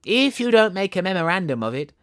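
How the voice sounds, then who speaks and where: A blunt, bossy chess queen from a storybook, put on by a man. The delivery is curt and matter-of-fact, raised in pitch and very lively. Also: brisk, clean tone, no echo, clean studio sound. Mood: brisk, scolding.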